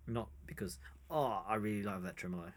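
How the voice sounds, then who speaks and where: Male co-host, lowish, easygoing, chatty agreement. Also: fairly quick, a little hiss or hum. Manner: relaxed, short agreeing replies and musing asides. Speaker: podcast co-host. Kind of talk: casual chat.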